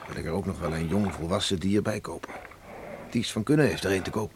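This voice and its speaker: A man acting a hearty, plain-spoken older farmer father with a halting way of talking in a radio play. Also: low-pitched, close to an everyday voice. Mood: proud, companionable. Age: middle-aged or older. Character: hearty farmer father, troubled by regret, welcoming. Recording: noisy old radio play recording.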